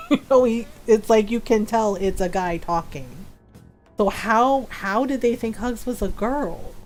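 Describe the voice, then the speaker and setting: A woman in her forties talks casually to her stream viewers and laughs often while telling stories. Her voice sits a little high. Faint game music plays underneath. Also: unhurried. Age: 40s.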